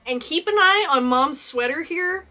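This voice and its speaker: A woman's voice: sits high, animated, measured pace, bright, forceful tone, soft background music. A young woman from Texas, a cosplayer, chatting enthusiastically through a casual movie costume analysis and laughing often. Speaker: cosplayer and video host.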